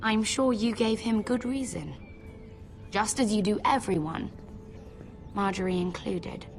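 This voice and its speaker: A woman playing an anxious, reproachful young noblewoman at court in a fantasy video game, with a measured, pointed delivery. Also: mid-range voice, gravelly, faint music behind. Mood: firm, anxious.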